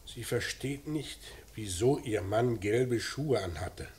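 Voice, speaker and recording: An actor playing a probing, businesslike police inspector in a mid-century radio crime drama, asking short, clipped questions. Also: male voice, medium pitch, slow pace, darkish tone, natural voice. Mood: quiet, probing. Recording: noisy old radio drama recording.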